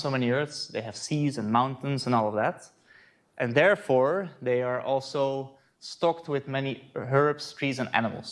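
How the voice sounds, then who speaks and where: A man from the Netherlands with a Dutch accent giving a conference talk on astronomy, chatty and relaxed, often checking in with "right?". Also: medium pitch. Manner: conversational, frequent "uh" and "right?" asides. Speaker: astronomy lecturer.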